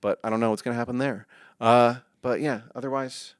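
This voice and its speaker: A man's voice: medium pitch, steady tone, a little fast, clear, resonant tone, dry recording. A man from the US West Coast, a comedian guest chatting on a podcast in a studio, rambling and restarting his sentences. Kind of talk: casual podcast chat.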